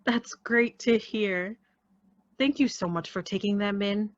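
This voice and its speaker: A woman's voice: mid-range voice, unhurried, dry recording, highs cut off, lightly acted. A woman playing a polite, formal professional in a radio play. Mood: kindly.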